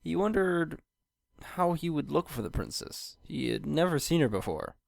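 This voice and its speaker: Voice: mid-range voice, expressive, moderate pace, vocal fry, no room sound. A narrator reading a scripted fan fiction story aloud.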